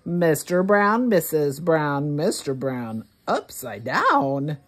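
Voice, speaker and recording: A woman reads a children's rhyming book aloud as a read-along host. Her voice is a little low and slightly husky, and she places each word deliberately for early readers, with lively swoops in pitch.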